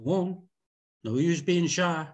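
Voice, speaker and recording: A man's voice: a little high, takes it slowly. A man voicing a curt, domineering 1830s Virginia farm master who gives clipped, folksy orders at a script reading. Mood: brusque. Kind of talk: script table read.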